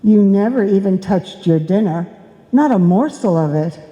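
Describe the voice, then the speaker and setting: A woman's voice: medium pitch, animated, moderate pace, mellow, dull audio, lightly acted. Lady doing a nagging old-time radio wife, voice swoops all over, scolding, echoey hall. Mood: concerned, needling. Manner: theatrical, scolding, exclaiming. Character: nagging, fussing wife in a bickering radio-comedy couple. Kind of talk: old-time radio comedy acting, performed live. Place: indoor stage before a live audience. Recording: live stage performance in reverberant hall.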